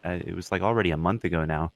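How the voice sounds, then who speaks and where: A man's voice: sits low, racing, no room sound. A young man co-hosting a podcast in casual chat, talking in a slangy, mildly profane way. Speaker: podcast co-host.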